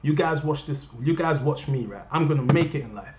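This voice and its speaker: A man's voice: fairly high pitch, fairly quick, a little mellow, slight background noise. A young man from Cameroon talking earnestly to his viewers from his living room, repeating himself for emphasis. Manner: impassioned, repetitive, addresses viewers directly. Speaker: vlogger. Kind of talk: earnest personal rant to camera. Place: living room at home, indoors. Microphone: webcam at desk. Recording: roomy, dull lo-fi webcam audio.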